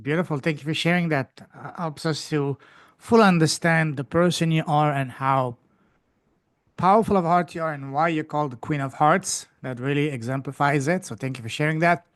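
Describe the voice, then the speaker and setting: Interviewer from Canada, appreciative, unhurried questions, mid pitch. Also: dry recording, studio quality. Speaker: podcast host. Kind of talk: casual interview.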